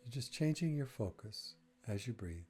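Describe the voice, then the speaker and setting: A man leading a guided breathing meditation, speaking gently in short phrases with long, unhurried pauses. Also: mid-range voice, expressive, faint music behind.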